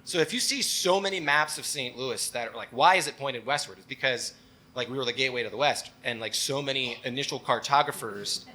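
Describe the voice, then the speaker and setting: A man's voice: mid-range voice, a little fast, pushed and bright, low-quality recording. A man co-hosting a live comedy show on stage, explaining things casually with wry, emphatic interjections. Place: on stage before a live audience.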